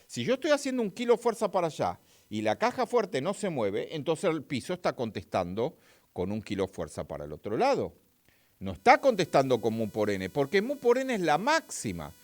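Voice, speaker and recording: Lively Argentine man teaching physics. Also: fairly high pitch, moderate pace, no room sound. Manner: chatty, talks straight to viewers and reads their chat. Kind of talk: live-streamed physics lesson.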